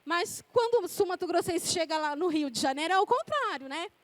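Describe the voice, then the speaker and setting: Woman, 40s, preacher, storytelling sermon, very high voice, dry sound. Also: lively intonation, fairly quick, no echo. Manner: conversational, reads scripture then reminisces. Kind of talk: sermon with personal stories.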